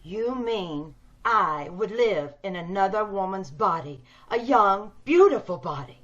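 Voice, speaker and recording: A woman's voice: medium pitch, expressive, a little slow, fairly bright tone. A woman playing a homely, probing woman who yearns for beauty, questioning insistently in old-time radio drama style, over dull video-call audio.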